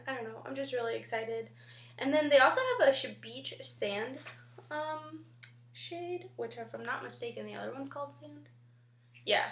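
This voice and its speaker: A woman's voice: fairly high pitch, a bit bright, roomy sound. A young woman with a stuffy nose from a head cold, chatting casually and rambling to camera about makeup. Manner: chatty and rambling, many ums. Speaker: beauty vlogger.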